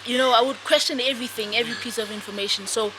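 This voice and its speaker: A young woman from South Africa, a guest author answering interview questions in a soft, hesitant way with many fillers. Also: fairly high pitch, steady tone, rapid-fire. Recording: noisy podcast recording.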